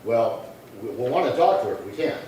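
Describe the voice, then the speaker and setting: Male actor as calm police detective, matter-of-fact, polite, radio crime drama, distant echoey sound. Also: medium pitch, fairly quick, close to an everyday voice. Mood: polite, probing. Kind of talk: old-time radio crime drama acting. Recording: echoey room from far off, faint noise.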